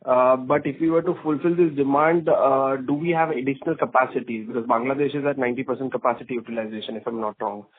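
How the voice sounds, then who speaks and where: A man's voice: medium pitch, fairly even intonation, quick pace, few pauses. A man with an Indian accent, a polite and deferential analyst putting questions to management on a conference call. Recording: narrow tinny phone line.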